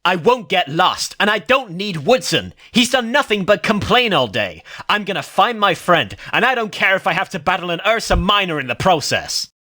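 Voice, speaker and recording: Young male pony, stubborn and big-hearted played by a man: higher voice, swinging widely, forceful, for story audio. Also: big pitch swings, moderate pace, few pauses, exaggerated voice. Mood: stubborn, boastful. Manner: emphatic, indignant, youthful. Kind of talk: fan fiction audio reading, character voice.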